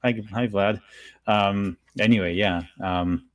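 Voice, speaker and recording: A middle-aged man with a very low voice speaks in a casual, emphatic way. He is enthusiastic and informal, and the recording is close and dry. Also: steady tone, slow, no echo. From born in Poland. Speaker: guest speaker and software practitioner. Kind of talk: casual chat.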